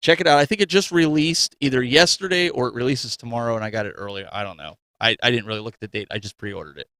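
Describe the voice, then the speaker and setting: A man's voice: mid-range voice, fast talker, sharp tone. A man co-hosting a gaming show, chatting casually to his audience and fellow hosts. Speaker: co-host of a gaming news show. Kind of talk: casual chat.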